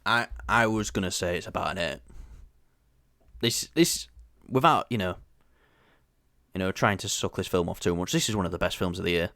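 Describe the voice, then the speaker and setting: A man's voice: low-pitched, normal speed, long pauses, pushed and bright, dry recording, clean studio sound. A young man with a Yorkshire accent co-hosting a movie review show, chatting casually with lots of fillers and restarts. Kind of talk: casual chat.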